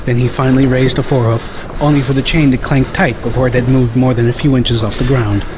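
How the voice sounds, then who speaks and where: A man's voice: medium pitch, steady tone, fast. Man narrating an audiobook over noise. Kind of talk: scripted first-person story narration.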